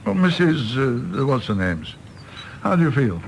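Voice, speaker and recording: Gravelly, offhand doctor. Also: male voice, mid-range voice, very animated, unhurried, slight background noise. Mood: soothing. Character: gruff, weary doctor minding an old patient. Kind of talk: radio drama acting.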